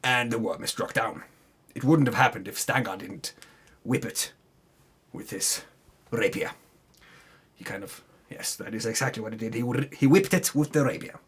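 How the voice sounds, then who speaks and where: A man with a husky voice doing a theatrical, tongue-in-cheek dramatic reading of a tabletop campaign recap, with wry asides. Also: mid-range voice, normal speed, long pauses.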